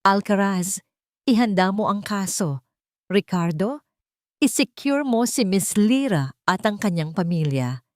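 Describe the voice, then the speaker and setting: A woman's voice: medium pitch, lively intonation, a little slow, darkish tone, no room sound, studio quality, lightly acted. A woman voicing a stern, fatherly male general in an audiobook, cold, determined and terse. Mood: commanding.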